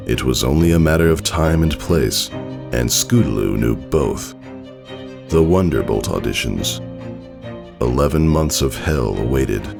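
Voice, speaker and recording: A man narrating a scripted story dramatically, in a solemn and measured manner. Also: rumbling low, gravelly. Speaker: fan fiction narrator. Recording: soft music behind the voice.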